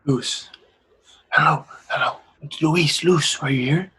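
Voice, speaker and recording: A man's voice: a little high, slow pace, bright, forceful tone, a little hiss or hum, poor audio. An actor playing a desperate, tender young man in a dramatic play reading, urgent and pleading, stammering and repeating himself. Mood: anxious searching.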